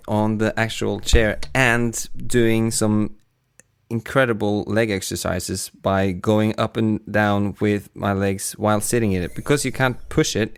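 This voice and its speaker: A man working as a sound designer, chatting casually behind the scenes in a reflective, halting way as he searches for words. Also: fairly low pitch, creaky, clean tone.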